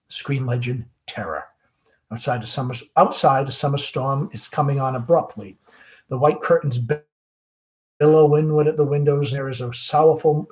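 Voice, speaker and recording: A man's voice: mid-range voice, darkish tone. A man reading stage directions aloud in a dramatic read-along style. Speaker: reader of a play, school principal.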